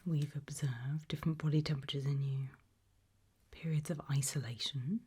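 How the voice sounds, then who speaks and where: A British woman whispering an ASMR roleplay as a creepy, probing examiner, asking questions slowly and deliberately with quiet playfulness. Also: low-pitched, steady tone, husky. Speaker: ASMR roleplayer playing an interviewer.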